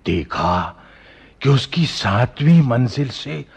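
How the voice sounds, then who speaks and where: A man playing a boastful, smitten male djinn in a radio play, with a husky, drawn-out delivery. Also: mid-range voice, very animated, exaggerated voice. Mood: gleeful boasting. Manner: slow, drawn-out, boastful, chuckling.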